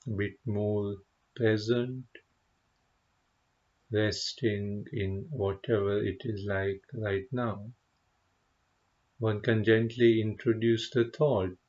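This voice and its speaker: Very slow-paced man guiding meditation. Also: on the low side, little pitch movement, lo-fi sound. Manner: gentle, unhurried, contemplative, with pauses. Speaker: meditation teacher, Buddhist monk. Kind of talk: guided meditation.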